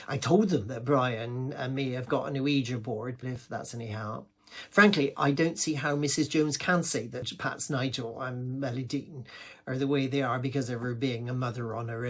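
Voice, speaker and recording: A man's voice: mid-range voice, a bit bright. A man acting a gossipy village pantomime pianist. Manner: confiding, rambling, gossipy, with malapropisms. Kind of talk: theatrical comic monologue.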